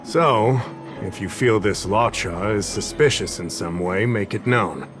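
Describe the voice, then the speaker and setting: An actor playing an affable, easygoing investigative official in a video game, conversational and explanatory. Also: male voice, lowish voice, a little slow, over music, lightly acted. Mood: explaining. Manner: conversational, slightly absent-minded, explanatory. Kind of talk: video game character acting.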